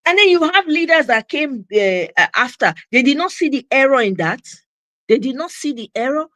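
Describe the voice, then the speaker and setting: A woman's voice: high voice, animated, moderate pace, sharp tone, dry recording. Emphatic Nigerian woman, dry close sound. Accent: Nigerian, with Pidgin phrases. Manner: emphatic, repeats her points for stress. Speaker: host of a commentary channel. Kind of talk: impassioned opinion monologue.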